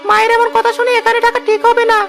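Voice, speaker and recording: A woman voicing a scheming, defiant young village brother in a cartoon fairy tale, with a creaky voice. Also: extremely high pitch, completely flat delivery, very fast, a bit bright, faint music behind, heavily acted. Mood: indignant.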